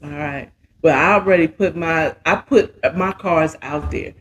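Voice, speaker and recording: Sassy American man, unhurried, dramatic storyteller. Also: fairly high pitch, a bit bright, slight background noise. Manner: dramatic, sassy, drawn-out exclamations and asides. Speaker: host of a story recap show. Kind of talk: casual animated retelling of a romance story.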